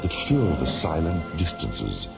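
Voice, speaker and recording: An actor playing a grave, portentous science fiction narrator, gravelly and deliberate, in a dramatic radio drama style. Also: male voice, rumbling low, wildly swooping pitch, full character voice. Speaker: narrator and announcer. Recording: dull old radio recording with music.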